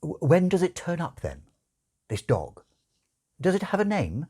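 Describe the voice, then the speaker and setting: Man putting on a high-pitched, prim, fussy angel. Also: wildly swooping pitch, measured pace, mellow, slightly rough, exaggerated voice. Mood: anxious. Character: genteel, fussy male angel, anxious and old-fashioned.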